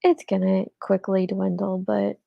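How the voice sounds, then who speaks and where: A congested woman in her forties chats with her live audience, her voice rough and gravelly with a stuffy edge. She rambles easily and laughs between thoughts. The sound is dull and dry, close to the mic. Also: mid-range voice, monotone, a little slow, dry recording. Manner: chatty, laughs often, asides to chat viewers. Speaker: livestream host. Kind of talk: casual chat with live chat.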